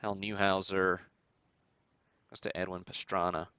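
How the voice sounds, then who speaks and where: A man hosting a live stream, giving matter-of-fact commentary in a halting way with pauses. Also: low-pitched, level tone, sharp tone, smooth, no echo, dull audio. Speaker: host of a sports card break stream. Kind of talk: live show-and-tell commentary on vintage cards.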